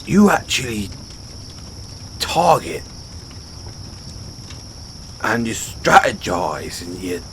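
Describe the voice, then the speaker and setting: A man playing a gruff but good-hearted orc barbarian in a fantasy audio roleplay, bashful and loyal, stumbling over his words in halting speech. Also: lowish voice, sing-song, a bit bright, a little hiss or hum, full character voice. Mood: admiring, fumbling. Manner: halting, fumbling speech with sheepish stammers. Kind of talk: fantasy audio roleplay, intimate one-sided dialogue. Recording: outdoor night ambience with crickets behind.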